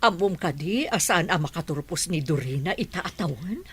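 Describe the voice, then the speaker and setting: A woman's voice: lowish voice, lively intonation, normal speed, husky. Lady doing a worried mom, voice swings up and down a lot. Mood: anxious. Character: caring middle-aged mother of the house. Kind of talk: Ilocano audio drama.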